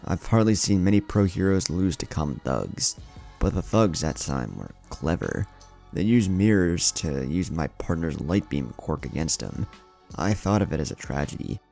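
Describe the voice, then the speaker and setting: A man's voice: low voice, big pitch swings, moderate pace, vocal fry, warm tone, faint music behind, exaggerated voice. A man voicing a solemn veteran hero who soberly recounts grim losses in an audio story. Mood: somber.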